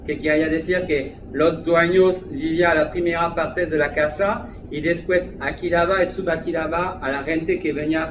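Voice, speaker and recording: A man with a French accent speaking Spanish as a second language, a restaurant manager giving an interview, hesitant and full of fillers. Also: fairly high pitch. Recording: echoey room, background noise.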